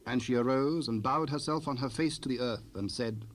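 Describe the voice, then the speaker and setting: A male narrator with a medium-pitched voice reads scripture as a formal story. His delivery is measured and scripted. It comes from a cassette rip with faint noise. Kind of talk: scripted scripture narration, King James Bible.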